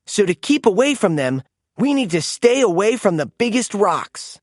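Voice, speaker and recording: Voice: medium pitch, very animated, moderate pace, no room sound, studio quality, pitched above the performer's own voice. A narrator voicing a plucky, confident boy driver in a children's storybook. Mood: urgent, confident.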